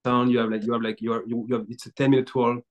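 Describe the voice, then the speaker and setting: A man's voice: medium pitch, flat delivery, very fast. A man in his thirties with a French accent, a French native speaker, answering questions on a video call, hesitant and searching for words. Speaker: guest, baseball player. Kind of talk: casual video-call interview. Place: small indoor room.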